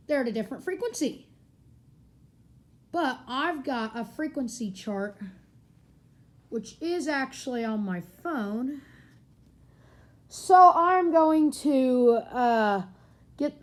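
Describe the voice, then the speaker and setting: A woman speaks in a somewhat high-pitched voice. She gives an informal, hands-on demonstration from her living room. Her delivery is casual and rambles as she goes. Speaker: gadget reviewer. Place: carpeted living room indoors. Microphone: handheld camera or phone, speaker off screen.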